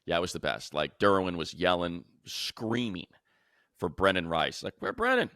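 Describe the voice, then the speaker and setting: Deep, gravelly man talking sports. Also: expressive, moderate pace, a bit bright, dry recording, clean studio sound. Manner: conversational, enthusiastic, colloquial. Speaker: sports show host and football play-by-play announcer. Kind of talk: casual sports talk, animated storytelling.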